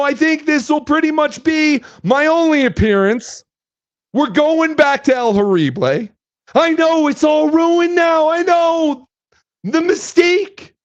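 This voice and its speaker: A man voicing a brash, boastful online troll who calls himself Daddy, hoarse from shouting. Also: very high voice, animated, full character voice. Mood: taunting. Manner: boastful, taunting, drawn-out delivery. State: hoarse, losing his voice. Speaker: guest host. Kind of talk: live stream chat. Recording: dull lo-fi stream audio.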